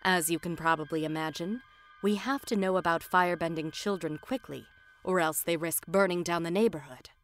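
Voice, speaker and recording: A woman's voice: lowish voice, faint music behind, close to an everyday voice. Woman playing a stern, composed female martial instructor. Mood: calm, instructive. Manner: measured, authoritative, instructive. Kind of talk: fan visual novel voice acting.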